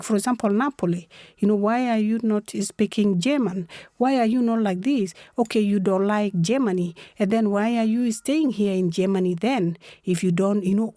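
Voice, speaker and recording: A woman's voice: medium pitch, warm tone, no echo, studio quality. A woman from Sudan with a Sudanese accent, speaking English as an additional language in an impassioned interview, emphatic and fond of rhetorical questions. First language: a mother tongue other than English. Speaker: activist guest.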